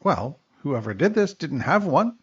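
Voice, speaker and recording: Guy doing a raspy jokey coroner, high and bouncy, dull lo-fi sound. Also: sing-song, a little slow, full character voice. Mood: deadpan. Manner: dry punchline delivery. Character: wisecracking male medical examiner. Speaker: medical examiner. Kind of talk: comedic radio drama parody.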